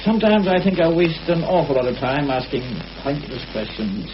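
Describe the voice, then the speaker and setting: Village inspector, middle-aged, affable, probing, politely hesitant, animated intonation, faint hiss, British radio drama. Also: male voice, fairly high pitch, normal speed. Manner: hesitant, polite, mild questioning with small hums. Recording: dull, hissy old radio drama recording.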